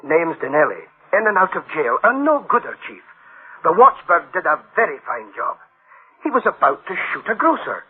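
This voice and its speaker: A man's voice: a little high, big pitch swings, normal speed, narrow, tinny audio. An actor playing an eager, dismissive engineer in a radio drama, insistent and colloquial in his reassurances. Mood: upbeat, puzzled. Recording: band-limited old radio drama sound.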